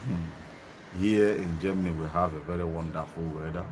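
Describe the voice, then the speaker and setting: Man, very low voice, rambling phone livestream, faint hiss behind. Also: steady tone, moderate pace. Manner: rambling, many ums and restarts. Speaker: online TV host. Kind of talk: casual livestream commentary. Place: outdoors in Germany. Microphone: mobile phone with earphone mic.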